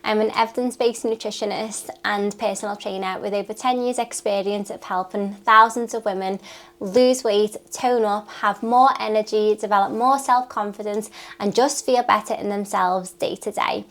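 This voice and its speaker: A woman's voice: medium pitch, steady tone, moderate pace. Chatty British woman with a sniffly cold. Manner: chatty, rambling, listing things off. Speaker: nutritionist and personal trainer. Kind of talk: unscripted chat to camera. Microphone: talking to camera.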